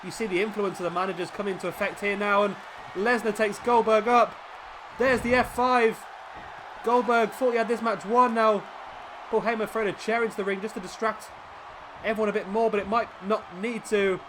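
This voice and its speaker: Man giving excitable game commentary. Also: high-pitched, fairly quick, noisy background. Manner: excitable, rising during big moves. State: sniffly with a cold. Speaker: gaming YouTuber commentating a wrestling game. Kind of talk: play-by-play commentary.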